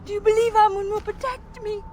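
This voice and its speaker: An actress playing a vengeful, fanatical young priestess in a dramatic video game, wounded and imperious, with an intense, ritual delivery. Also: female voice, extremely high pitch, fairly even intonation, brisk, noisy background. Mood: anguished.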